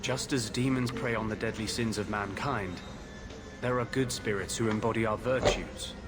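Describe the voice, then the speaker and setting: A man playing a tormented rebel mage in a video game, righteous and brooding, speaking with earnest, halting intensity. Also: lowish voice, music in the background, lightly acted. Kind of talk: dramatic video game dialogue acting.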